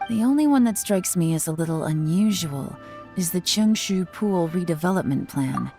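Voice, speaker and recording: A woman playing a cool, composed intelligence chief in a video game, terse, measured and authoritative. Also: low-pitched, darkish tone.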